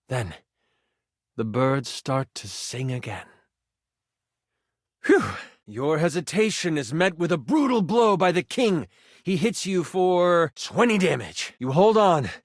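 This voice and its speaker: A man voicing an excitable twenty-sided die in a video game, narrating a fantasy tabletop adventure as a dramatic, expressive game master. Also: medium pitch, dry recording, clean studio sound. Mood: grave, tense. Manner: theatrical, expressive storytelling with sighs and asides.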